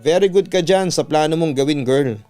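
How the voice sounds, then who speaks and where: Man voicing a high, sassy best friend. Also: normal speed, dark tone, clean tone, faint music behind, natural voice. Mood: chummy, earnest. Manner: chummy, gossipy, calls friend girl.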